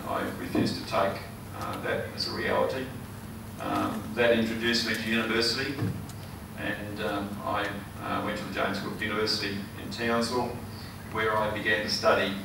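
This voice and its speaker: Older Australian man, hoarse, halting campaign speaker, far from the mic, noisy hall. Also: on the low side. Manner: earnest, halting, with many ums and uhs. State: hoarse, losing his voice. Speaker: election candidate. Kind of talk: campaign speech.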